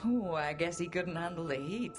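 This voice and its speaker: An actress plays a decisive young woman adventurer in a fantasy game. Her voice is low and composed, with music playing behind her. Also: moderate pace, lightly acted. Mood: wry, easygoing. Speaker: voice actor. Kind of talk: video game cutscene acting.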